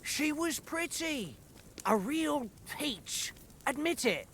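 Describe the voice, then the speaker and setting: A brash, rowdy soldier, high and swinging in pitch, boasts about wine and wenches. A faint low rumble hangs underneath. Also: male voice, big pitch swings, slow, vocal fry, a bit bright, full character voice. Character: boisterous soldier, fond of drink and women.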